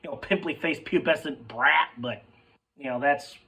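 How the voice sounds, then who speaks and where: A young man with a hoarse, cracking voice telling a rambling, sidetracked story in casual chat, swearing freely and calling his listeners folks. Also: medium pitch, normal speed, bright, forceful tone, distant mic. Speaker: sports fan vlogger.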